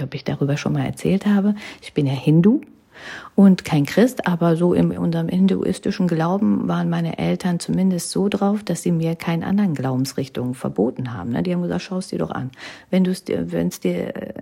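A woman's voice: on the low side, vocal fry, mellow, husky. A woman in her thirties telling personal stories in a casual chat, often tagging sentences with a questioning "ne?" and dropping into whispers. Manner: chatty, keeps adding "ne?", drops to whispers. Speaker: vlogger.

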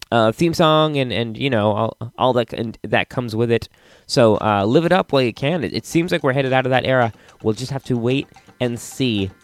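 A man's voice: medium pitch, no echo, clean studio sound. A man hosting a podcast in a casual, enthusiastic, rambling style. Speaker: podcast host.

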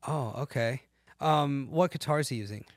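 A man's voice: medium pitch, moderate pace, no echo. A man hosting a podcast, chatting casually about music and often searching for his words. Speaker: podcast host. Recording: dry, music playing behind.

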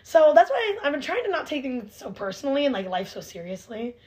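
Chatty nineteen-year-old woman, higher voice. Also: sing-song, fast talker, darkish tone. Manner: chatty, heavy use of "like", earnest and self-reflective. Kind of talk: casual chat.

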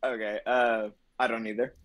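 A man's voice: mid-range voice, little pitch movement, fairly bright tone, no room sound. A man from Texas with a light Texan accent, chatting casually and laughing often. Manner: easygoing, laughs often, drawn-out vowels. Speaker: conversation partner. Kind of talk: casual chat.

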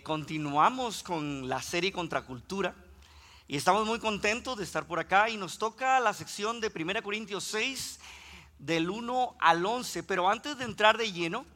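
High, bright Costa Rican preacher. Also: male voice. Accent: Costa Rican Spanish. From Costa Rica. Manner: builds in intensity when preaching, reads Scripture aloud. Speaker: guest preacher. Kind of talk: sermon.

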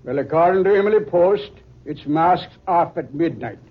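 A man's voice: a little high, lively intonation, normal speed. An actor playing a tough, suspicious, wisecracking policeman in a 1940s radio drama, with slangy, blunt, bossy talk. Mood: sarcastic, demanding. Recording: dull old 1940s radio recording.

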